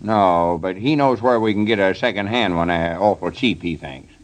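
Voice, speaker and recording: An actor playing a stubborn, scheming old rural storekeeper with a folksy Southern American drawl in a 1930s radio comedy. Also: male voice, deep voice, lively intonation, moderate pace. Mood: folksy, deliberate. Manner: folksy, drawling country talk. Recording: 1930s radio broadcast recording.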